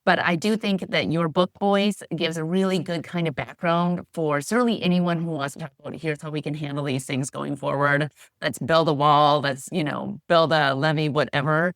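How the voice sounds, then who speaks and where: A woman's voice: deep voice, fairly even intonation, moderate pace, few pauses, dry recording, studio quality. A middle-aged woman co-hosting a podcast interview, talking loosely and thinking aloud with fillers. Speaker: podcast co-host. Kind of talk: conversational interview.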